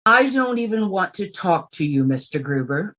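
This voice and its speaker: A woman's voice: medium pitch, sing-song, normal speed, fairly bright tone, dull audio. An actress playing a prim, emotional frontier woman being courted in a radio western, exclaiming breathlessly and speaking with formal politeness. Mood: guarded, surprised. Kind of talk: old-time radio western acting.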